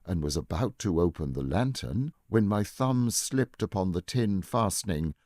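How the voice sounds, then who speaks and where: A man playing an obsessive, boastful madman in a gothic horror story, with a deliberate, theatrical and insistent delivery. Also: low voice, expressive, darkish tone, dry recording, clean studio sound. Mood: cunning, gloating. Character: obsessive madman murderer calmly boasting of his cunning.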